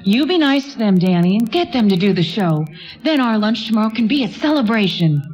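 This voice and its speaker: A nostalgic grandmother in a radio drama, her voice a little high. She talks in a folksy, fond way and gently bosses her family. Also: big pitch swings, a little fast, slightly rough, dull audio. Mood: gently bossy. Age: older. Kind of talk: radio play acting.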